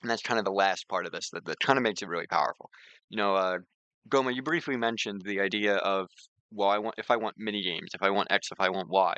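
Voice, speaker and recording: A man giving an informal screen-share software tutorial, talking conversationally and repeating words for emphasis. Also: low-pitched, quick pace, smooth, no echo, dull audio.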